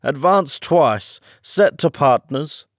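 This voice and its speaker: A man voicing a melancholy, excitable sea turtle in a storybook reading, with mournful, emphatic delivery. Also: a little high, slow, dry recording, studio quality, voice pushed up, heavily acted. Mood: excited. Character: weepy, melancholy old male sea turtle, excitable at times.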